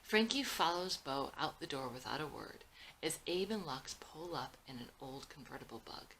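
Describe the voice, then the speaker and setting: A woman's voice: low voice, animated, moderate pace, a bit bright, slight background noise, poor audio. A woman narrating screenplay stage directions as a scripted reading.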